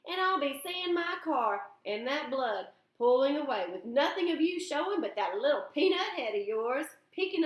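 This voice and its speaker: A woman's voice: high-pitched, roomy sound, voice pushed up, rough recording. A woman voicing a kindly older man who teases a boy, telling his worries with easy humor. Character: older male driver, kindly teasing man.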